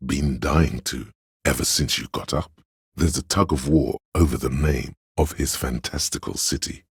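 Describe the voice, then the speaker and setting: An actor voicing a pompous, art-obsessed inner voice in a video game, narrating in a literary, deliberate and theatrical way with a husky, gravelly tone. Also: male voice, very deep voice, no room sound, studio quality, heavily acted. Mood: insistent, goading. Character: pretentious art-obsessed inner voice, male, musing and cutting. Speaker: narrator of a character's inner skill voice.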